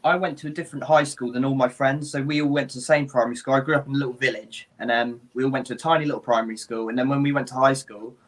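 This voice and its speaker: A man's voice: medium pitch, steady tone, rapid-fire, few pauses, fairly bright tone, rough recording. A man with an English accent chatting eagerly and a bit ramblingly on a livestream over a video call. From England. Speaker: livestream guest. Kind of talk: casual chat on a video stream.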